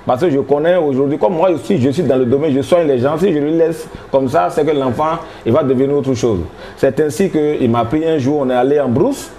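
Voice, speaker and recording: A man's voice: on the high side, fairly quick, hardly any pauses, dark tone, slight background noise. A man in his thirties with an Ivorian French accent, a traditional healer telling his story in a rambling, hesitant way. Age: 30s. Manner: rambling, hesitant, laughing often. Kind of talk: casual interview.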